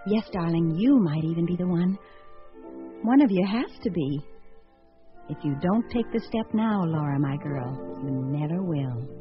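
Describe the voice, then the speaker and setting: An actress playing a whimsical, teasing bride in a radio comedy, with an arch, theatrical manner. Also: female voice, on the low side, lively intonation, a little slow. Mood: playful, pondering. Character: whimsical bride Laura weighing five suitors, affectionate and teasing. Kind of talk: radio comedy acting with monologue. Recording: muffled old radio recording, music behind.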